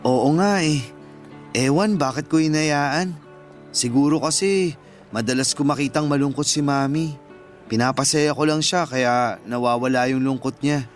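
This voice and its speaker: An actor playing a polite, earnest young son with a Filipino accent in a radio drama. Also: male voice, mid-range voice, moderate pace, faint music behind, lightly acted.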